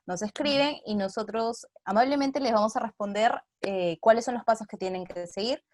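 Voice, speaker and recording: A woman's voice: on the high side, normal speed, no room sound, clean studio sound. A woman with a Peruvian accent talking casually as an interview guest, earnest and explanatory. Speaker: theater artist, interview guest. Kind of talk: casual video-call interview.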